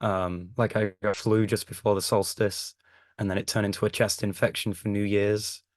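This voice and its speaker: A man co-hosting a podcast with a croaky voice from recent illness, chatting in a wry, thoughtful way. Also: on the low side, little pitch movement, moderate pace, no echo, clean studio sound. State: croaky voice after a chest infection. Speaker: podcast co-host. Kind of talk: casual podcast chat.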